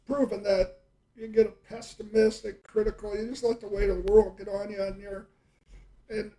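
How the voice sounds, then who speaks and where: A man's voice: high-pitched, flat delivery, moderate pace, dark tone, poor audio. A middle-aged man in casual conversation, reminiscing and telling stories in a rambling way, often trailing off mid-thought. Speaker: podcast host and storyteller.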